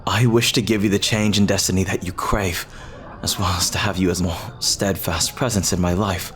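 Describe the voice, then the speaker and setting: A lovestruck pirate captain, played by a man in an audio roleplay. His voice is low and deep. He speaks earnestly and hesitantly, with tender, formal, old-fashioned phrasing. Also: fairly even intonation, normal speed, a bit bright, soft background music. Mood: tender, pleading. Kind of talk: ASMR roleplay acting.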